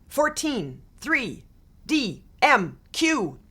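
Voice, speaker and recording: A woman voicing a kind male schoolteacher in a storybook, speaking softly and tenderly. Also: high voice, sing-song, husky, pitched above the performer's own voice, heavily acted. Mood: playful. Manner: soft, tender, slow-spoken.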